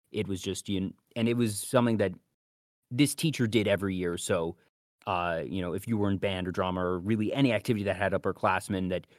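Man in his thirties, podcast co-host, lowish voice, casual storytelling, dry close sound. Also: no room sound.

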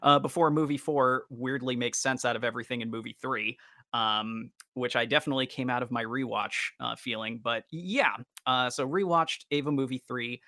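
A man's voice: mid-range voice, moderate pace, pushed and bright, no room sound, clean studio sound. A man hosting a casual podcast, talking in wordy, roundabout and slightly formal phrases. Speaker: podcast host.

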